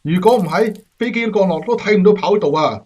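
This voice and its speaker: High-voiced griping young airman. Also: fairly quick, a little mellow, husky. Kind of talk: Cantonese radio drama acting.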